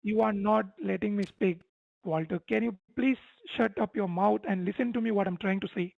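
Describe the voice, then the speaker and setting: A man's voice: sits high, normal speed, vocal fry, no room sound. An impatient, insistent man arguing over a phone call as a support agent, repeating himself. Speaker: tech support phone scammer. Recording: dull phone line, faint music behind.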